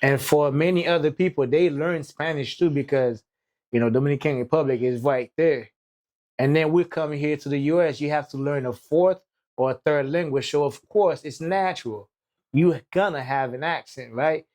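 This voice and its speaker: A man from Haiti with a Haitian accent, hosting a podcast in casual chat, animated and joking, with lots of short agreements. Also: fairly high pitch, normal speed. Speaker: podcast host.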